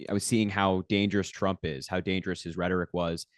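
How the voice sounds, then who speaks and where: A man's voice: low voice, little pitch movement, a little fast, dry recording. A young man in his twenties who grew up in Ireland, answering interview questions earnestly with plenty of fillers. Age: 20s. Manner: earnest, many fillers like "like" and "you know". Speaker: guest, young political activist.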